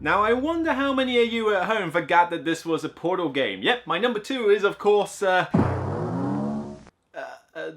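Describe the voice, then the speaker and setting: Man, slightly high voice, board game reviewer, enthusiastic, rambling, casual. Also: animated, moderate pace, over music. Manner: enthusiastic, rambling with fillers and asides.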